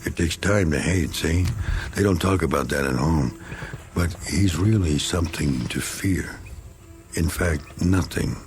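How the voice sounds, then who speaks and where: Deep, hardened American veteran. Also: male voice, a little slow, soft background music. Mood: grim. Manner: gruff, sardonic, colloquial. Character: cynical, hardened American war veteran, needling and menacing. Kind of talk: dramatic radio play acting.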